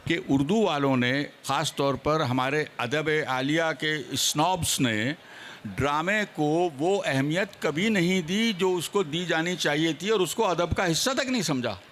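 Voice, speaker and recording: Guy on a seminar panel, really high voice for a man, emphatic and argumentative. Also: measured pace, fairly bright tone, slight background noise. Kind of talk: opinionated panel discussion. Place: book fair seminar with a live panel.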